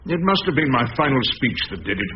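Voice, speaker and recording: Wry, orotund older English barrister played by a man for radio drama; highly varied intonation, slightly noisy recording. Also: very animated, fast, close to an everyday voice. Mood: wry, rhetorical. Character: wry, stubborn, eloquent older male barrister. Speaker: narrator and lead character. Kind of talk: radio drama narration and dialogue. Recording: muffled radio recording, faint noise.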